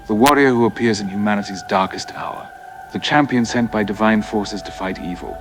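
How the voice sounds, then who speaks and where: Guy acting as solemn professor in an audio drama interview, lowish voice, scholarly n deliberate, music clearly behind. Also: lightly acted. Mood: solemn, explanatory. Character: solemn male religious studies professor. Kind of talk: scripted expert news interview.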